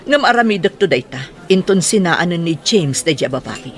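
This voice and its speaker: A woman's animated voice with an Ilocano Filipino accent, swinging widely in pitch. An actress plays a stubborn schemer in an audio drama. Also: normal speed, close to an everyday voice. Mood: defiant, scheming. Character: determined, scheming mother set on winning back her family.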